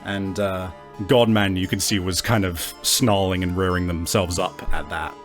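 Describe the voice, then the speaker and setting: A man's voice: sits low, steady tone, moderate pace, faint music behind. A man narrating a tabletop roleplay as game master.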